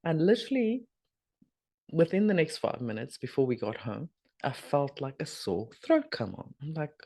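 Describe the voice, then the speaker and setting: Husky-voiced woman, hoarse. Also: sits low, big pitch swings, normal speed. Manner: rambling, chatty, with soft trailing asides. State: husky, hoarse from a sore throat. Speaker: spiritual video host. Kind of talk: casual spoken energy update.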